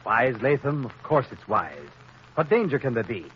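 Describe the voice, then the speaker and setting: Man playing a pompous, animated state governor. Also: mid-range voice, moderate pace, natural voice. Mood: pompous confidence. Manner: pompous, declamatory. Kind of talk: 1930s radio acting. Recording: noisy, muffled 1930s radio recording.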